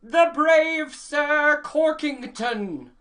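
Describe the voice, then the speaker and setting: A woman playing a pompous royal tournament herald in a comic radio play, making deadpan ceremonial proclamations. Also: sits high, extremely slow, very noisy.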